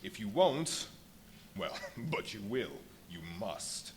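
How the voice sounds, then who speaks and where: A desperate invisible man, domineering and theatrical. His delivery swings dramatically in pitch as he commands and threatens, in a live radio play with light background noise. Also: sing-song, slow. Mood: menacing, commanding. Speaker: stage radio play actor. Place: live performance at a brewery venue. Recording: noisy live stage recording with ambience.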